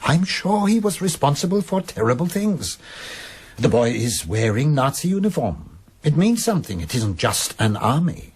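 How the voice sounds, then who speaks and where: An actor playing an earnest, troubled middle-aged husband in a radio drama, emphatic yet hesitant, with a raspy voice. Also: male voice, mid-range voice, very animated, moderate pace. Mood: troubled, ashamed.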